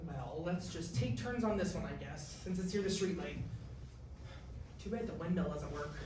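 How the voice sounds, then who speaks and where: An actor playing an earnest, teasing young college-age grandson in a staged musical reading. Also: male voice, a little high, normal speed, darkish tone, a little hiss or hum, natural voice. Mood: playful, teasing. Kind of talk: staged musical reading, part sung, part spoken. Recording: echoey room, far mic, music behind.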